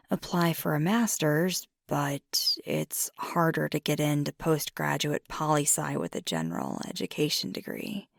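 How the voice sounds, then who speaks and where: A woman voicing a fiery young werewolf girlfriend in intimate ASMR roleplay, husky and often whispery, confiding earnestly and haltingly. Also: low voice, no echo, clean studio sound, full character voice. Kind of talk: intimate ASMR roleplay, soft half-whispered close speech.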